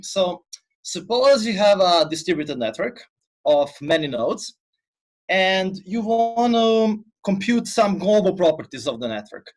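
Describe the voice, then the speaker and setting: A man's voice: a little high, a bit bright, rough recording. Croatian-born man explaining patiently. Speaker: PhD student. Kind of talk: explanatory interview answers.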